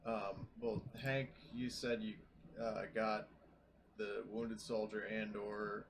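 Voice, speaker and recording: A man's voice: on the low side, level tone, slow pace, a little hiss or hum. A man chatting casually as a player in a tabletop roleplaying game.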